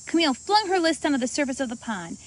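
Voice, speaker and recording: High-voiced, animated woman reading to children. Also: fast. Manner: animated, talking to young listeners. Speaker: storybook reader and host. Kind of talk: expressive children's read-aloud.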